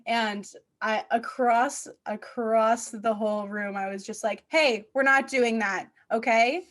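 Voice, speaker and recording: High-pitched woman, casual podcast host. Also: fairly even intonation, slow, fairly bright tone. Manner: informal, peppered with "like" and slang. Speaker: podcast host and children's theater director. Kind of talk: casual chatty podcast interview.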